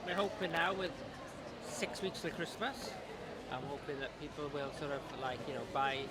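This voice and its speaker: A man's voice: a little high, normal speed. Chatty man with a Cardiff accent. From Wales. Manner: chatty, upbeat, rambling. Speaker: stall owner. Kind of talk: casual interview at a convention. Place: busy convention hall. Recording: loud background noise.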